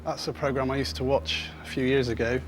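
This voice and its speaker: A man's voice: mid-range voice, little pitch movement, music in the background. Young male quiz contestant from Cornwall. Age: 20s. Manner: measured, thinking aloud.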